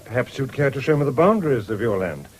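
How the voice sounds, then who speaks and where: An actor playing a polite, gentlemanly English country doctor in a radio drama. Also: male voice, mid-range voice, sing-song, quick pace. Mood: courteous. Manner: polite, smooth-mannered, gentlemanly. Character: courteous, genial country doctor with a shooting interest. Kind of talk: 1970s British radio drama acting. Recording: noisy old radio broadcast recording.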